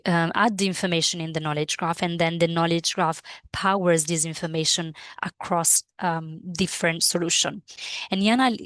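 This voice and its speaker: A woman whose first language is Italian presents a live software training webinar, pausing often with fillers and restarting her phrases. Her voice sits low. The sound is dry and close. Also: clear, resonant tone, no room sound. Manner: hesitant, many fillers and restarts.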